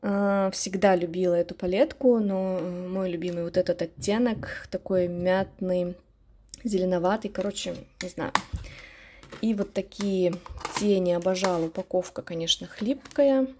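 A woman's voice: lowish voice, moderate pace, a little mellow. A woman beauty vlogger chatting casually and nostalgically, rambling and correcting herself as she goes. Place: indoors at home, at a dressing table.

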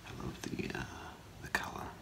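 A middle-aged man doing a whispered ASMR role play as a gemologist, speaking in a hesitant, halting, softly reassuring way. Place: small indoor room with curtain.

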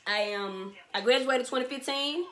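A twenty-year-old woman from Memphis with a Southern accent, talking straight to camera in an animated, slangy way and repeating herself for emphasis. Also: high voice, normal speed, noisy background. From Memphis, Tennessee. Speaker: vlogger, small business owner. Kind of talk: casual Q&A vlog to camera. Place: bedroom, sitting on a bed, indoors.

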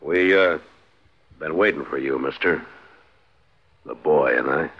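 Very deep, husky frontier marshal. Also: male voice, a little slow, heavily acted. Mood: menacing calm. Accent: American. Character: steady, authoritative frontier marshal, grown man. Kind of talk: 1950s radio western acting. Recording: muffled 1950s radio recording.

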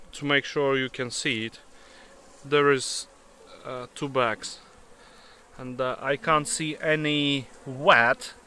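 A non-native English-speaking man, a beekeeper, demonstrating outdoors in a grassy field and talking haltingly with many fillers. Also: medium pitch, sharp tone, clean tone. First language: not English. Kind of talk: hands-on demonstration. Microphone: handheld camera mic. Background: bees buzzing.

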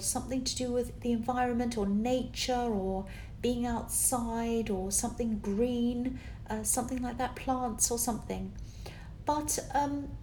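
A tired woman with a slightly croaky voice, giving a casual tarot reading to camera in a rambling, encouraging way full of fillers. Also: a little high, dark tone, slight background noise, rough recording. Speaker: tarot reader. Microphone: camera with external microphone.

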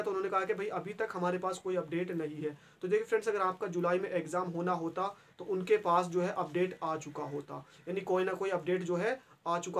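A young man hosting an exam-news channel. He explains earnestly to camera from a small indoor room, repeating himself with filler pauses. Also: sits high. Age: young adult.

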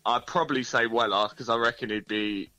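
A man's voice: medium pitch, fairly even intonation, brisk, sharp tone, no echo, soft background music, rough recording. A man, a band member, chatting easygoingly as a guest in an interview and thinking aloud. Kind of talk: casual interview chat.

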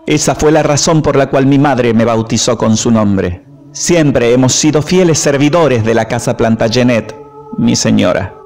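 An actor playing a loyal young medieval squire in a radio drama, formal and respectful. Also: male voice, medium pitch, normal speed, a little mellow, natural voice. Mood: formal, dutiful.

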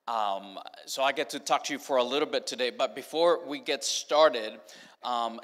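A man's voice: medium pitch, fairly bright tone. A middle-aged man from Mexico with a Mexican Spanish accent, preaching a casual, humorous sermon on a large stage into a handheld mic, full of fillers and restarts. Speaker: pastor. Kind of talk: casual sermon to college students. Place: large stage of a church or college auditorium. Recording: long hall reverb.

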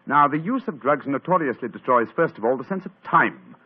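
An actor playing a brilliant, cool-headed detective in a 1940s radio drama, crisp and dryly amused. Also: male voice, mid-range voice, lively intonation, a little fast. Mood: incisive. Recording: tinny old radio broadcast.

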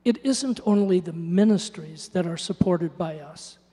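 A man's voice: high-pitched, unhurried, dark tone, breathy edge. An older man, born in Norway, giving an earnest church testimony into a handheld mic. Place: church sanctuary. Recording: church hall reverb.

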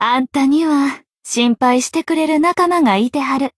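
Lilting, high-pitched young Kansai journalist played by a woman. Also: normal speed, no echo, studio quality, lightly acted. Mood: frank, consoling. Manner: soft, coquettish, lilting dialect with playful teasing. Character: teasing, breezy young female journalist and playful liar. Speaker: game voice actor. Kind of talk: Japanese anime game voice acting.

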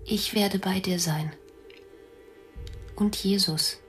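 An actress in an audio drama playing a devoted, grieving wife, speaking in a hushed, tender, breathy way. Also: female voice, mid-range voice, steady tone, slow, faint music behind, close to an everyday voice. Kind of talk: German audio drama acting.

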